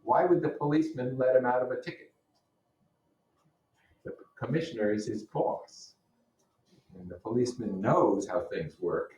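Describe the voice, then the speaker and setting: A man's voice: mid-range voice, normal speed, pauses often, darkish tone. A man teaching, reading a novel aloud in an informal way with blunt asides. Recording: roomy echo, distant microphone.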